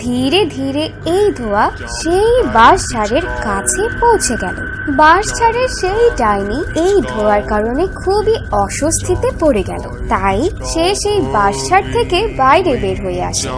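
A woman's voice: sits high, sing-song, normal speed, over music. A woman narrating a scripted fairy tale in a dramatic storytelling style.

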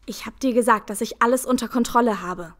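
An actress performs a self-assured housewife in her early forties in a radio play. Her voice sits fairly high, and she speaks with icy, sarcastic emphasis, thoroughly irritated. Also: a little fast, close to an everyday voice. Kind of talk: audio drama acting.

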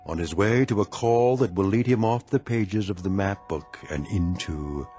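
A man narrating a radio drama in a measured, ominous storytelling manner. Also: low voice, over music.

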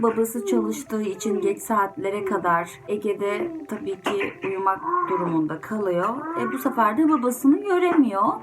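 A woman hosting children's stories, speaking informally and directly to young listeners with gentle advice. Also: a little high, rough recording. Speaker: children's storyteller and channel host. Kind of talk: children's story reading, then informal address to children. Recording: music under the voice. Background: music.

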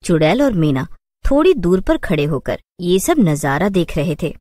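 A woman's voice: lowish voice, sing-song, moderate pace, warm tone, no echo, studio quality. A woman storyteller reading scripted narration for a children's cartoon tale.

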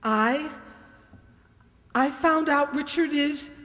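A woman playing a frightened, haunted wife turning undead in a radio mystery play, with a halting, fretful delivery. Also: high voice, fairly bright tone, exaggerated voice. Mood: desperate, pleading. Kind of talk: old-time radio mystery acting. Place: stage in a community hall, stand microphone. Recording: echoey hall, music underneath.

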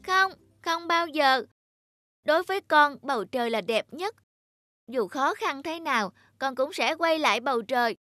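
Woman voicing a high-pitched earnest little raindrop boy. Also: animated, moderate pace, bright, forceful tone, faint music behind. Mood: determined. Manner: earnest, exclamatory. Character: small brave young raindrop boy, determined, anxious.

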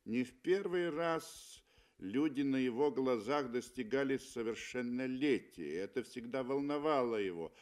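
A man reading literary narration for a 1960s radio play, with expressive, emphatic phrasing. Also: medium pitch, moderate pace. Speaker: audio drama narrator. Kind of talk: dramatic literary narration, 1960 Soviet radio. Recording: 1960 Soviet radio recording.

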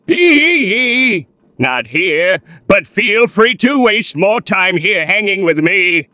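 A man's voice: sits high, very animated, slow, bright, forceful tone, no echo, pitched below the performer's own voice, heavily acted. A man voicing a gleeful, mocking henchman villain in a cartoon video game style. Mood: gleeful taunting. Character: gleeful taunting masked male henchman villain.

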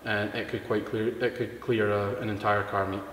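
A man's voice: on the low side, moderate pace. Scottish man in an echoey hall. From Scotland. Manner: relaxed, matter-of-fact explaining. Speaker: guest, product distributor. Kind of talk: casual interview. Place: large indoor car showroom. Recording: echoey hall, faint room noise.

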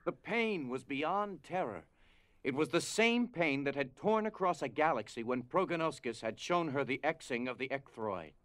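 A man reading dramatized storybook narration in a measured, solemn way. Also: on the high side, animated, a bit bright. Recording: music behind.

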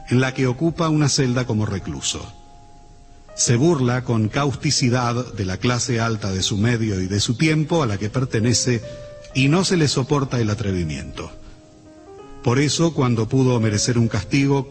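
Low-voiced formal Argentine man over music. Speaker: presenter introducing a radio play. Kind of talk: formal scripted radio essay narration.

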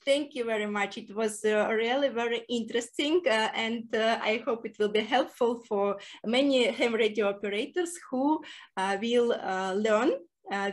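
A woman in her thirties hosting an informal tutorial, speaking deliberate, hesitant non-native English. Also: fairly high pitch. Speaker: host of a ham radio tutorial series. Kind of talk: informal language lesson, presenting to camera. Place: small indoor room with whiteboard.

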